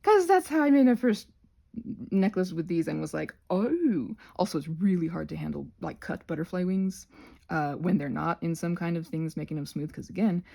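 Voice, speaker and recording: Creaky-voiced woman rambling through a craft tutorial. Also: lowish voice, lively intonation, normal speed, dark tone. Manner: scattered, trailing off mid-sentence, self-talking. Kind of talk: casual rambling hands-on tutorial chat.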